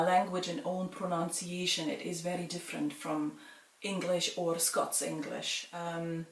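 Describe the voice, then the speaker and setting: A middle-aged woman from Germany calmly answers viewer questions in a casual vlog, pausing with frequent ums. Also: on the low side, far from the mic. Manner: calm, measured, with frequent ums. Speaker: vlogger. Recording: roomy sound from away from the mic.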